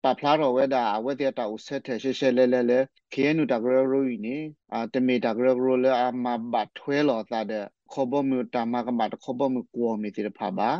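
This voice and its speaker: A man's voice: medium pitch, fairly even intonation, quick pace, dry recording. A man speaking off the cuff in spontaneous commentary on a radio programme. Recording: slightly muffled shortwave radio programme audio.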